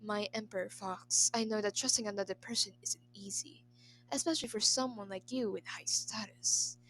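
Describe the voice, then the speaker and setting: Woman doing a gentle devoted retainer guy, theatrical storybook lines, coaxing, bit of background noise. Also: mid-range voice, normal speed, close to an everyday voice, low-quality recording. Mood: compassionate. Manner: soft, coaxing, earnest. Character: smooth-talking young nobleman acting a devoted theatrical retainer.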